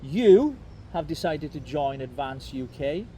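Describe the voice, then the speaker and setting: A man's voice: fairly high pitch, sing-song, measured pace, dark tone, slight background noise. A man with a Welsh accent interviewing a guest outdoors, upbeat and encouraging, prompting with questions. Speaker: interviewer, party leader. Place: outdoors in a city.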